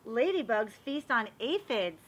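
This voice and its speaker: A woman reading a children's picture book aloud in an expressive, animated way, as if showing it to young listeners. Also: fairly high pitch, unhurried. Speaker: read-aloud reader for children.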